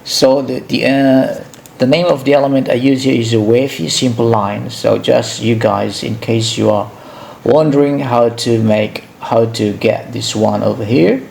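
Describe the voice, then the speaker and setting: A man from Indonesia with an Indonesian accent narrates an informal software tutorial as host, in a hesitant, halting way, often repeating himself. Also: medium pitch, steady tone, vocal fry, a little hiss or hum.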